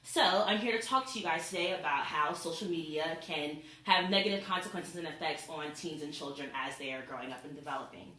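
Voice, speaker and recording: A woman's voice: low-pitched, a little fast, few pauses, sharp tone. Young woman giving an echoey class speech. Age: young adult. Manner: informal, addresses classmates directly.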